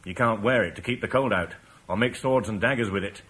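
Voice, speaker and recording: A man's voice: on the low side, brisk, fairly bright tone, slightly rough. A man playing a shrewd British war leader in a radio drama, firm and businesslike. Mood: stern bargaining. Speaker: military commander.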